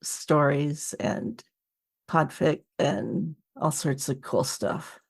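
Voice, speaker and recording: Woman podcast host, deep for a female voice with vocal fry, casual interviewing. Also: very slow.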